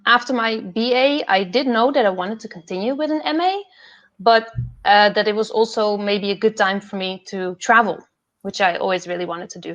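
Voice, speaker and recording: A young woman from the Netherlands, a PhD fellow and podcast host, talking earnestly about her career path with many pauses and fillers over a video call. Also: mid-range voice, a bit bright, dull audio.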